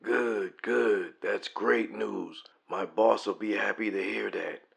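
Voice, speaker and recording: A man plays a mob enforcer with a low, gravelly voice. He is courteous and smooth on the surface, with menace underneath, in audio drama style. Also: fairly even intonation, slow pace, a bit bright, heavily acted. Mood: coolly menacing. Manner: polite with veiled threat.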